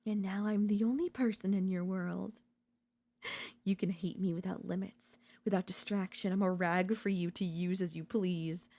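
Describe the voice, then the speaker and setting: A woman voice-acting an obsessive, unhinged yandere in a dark ASMR audio roleplay, theatrical and taunting, mocking and seductive. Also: medium pitch, steady tone, normal speed, lightly acted. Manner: theatrical, taunting, swinging between intimate murmurs and intense outbursts.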